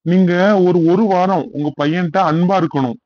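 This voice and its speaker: High-pitched, earnest cartoon pastor played by a man. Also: moderate pace, muffled recording, lightly acted. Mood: gentle, persuasive. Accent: southern Tamil Nadu. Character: kindly, earnest male village church pastor.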